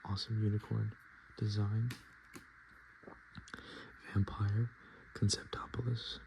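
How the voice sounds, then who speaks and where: A man speaking softly and often whispering in a casual ASMR style, with hesitant, halting, murmured delivery. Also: lowish voice, flat delivery, creaky. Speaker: ASMR video host. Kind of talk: soft-spoken and whispered ASMR, casual commentary. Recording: noticeable background noise.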